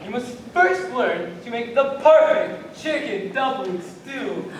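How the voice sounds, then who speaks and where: A man's voice: very high-pitched, animated, slow, exaggerated voice. A man on stage playing a bossy, demanding fellow, declaiming comic lines in a commanding way. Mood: demanding, smug. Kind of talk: theatrical stage recitation of comic verse. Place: large hall, school stage play. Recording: echoey noisy hall from far off.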